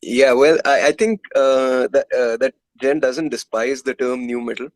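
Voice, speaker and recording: A man's voice: mid-range voice, a little slow, dry recording. A man with an Indian accent answering interview questions as a musician, candid and conversational, often repeating words as he thinks. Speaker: musician guest, guitarist and composer in a metal band.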